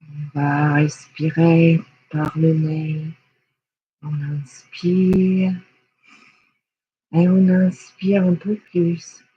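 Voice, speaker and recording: A person guiding a yoga nidra relaxation in a hushed, soothing, unhurried way with long pauses. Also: medium pitch, level tone, dull audio, a little hiss or hum. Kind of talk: guided relaxation meditation.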